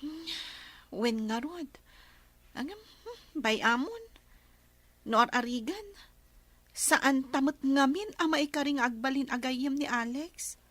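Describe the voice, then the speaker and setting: A cheerful young village daughter who laughs easily, as a woman plays her. Her voice sits high. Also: expressive, slow, pauses often, fairly bright tone, no room sound, full character voice. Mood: gossiping.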